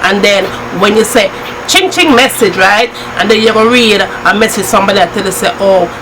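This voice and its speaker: A middle-aged Jamaican woman chatting easily on her home vlog, patois slipping in and a laugh never far off. Her voice sits at an ordinary pitch for a woman. Steady background noise hums under the talk. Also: fairly quick. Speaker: cooking channel host. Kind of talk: casual chat.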